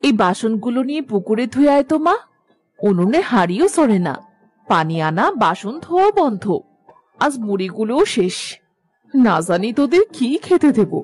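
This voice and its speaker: A fretful, loving village mother from a cartoon tale. She has a high, highly animated voice with careworn, motherly delivery, over background music. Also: normal speed. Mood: worried. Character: careworn, affectionate village mother, easily amazed. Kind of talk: Bengali cartoon voice acting.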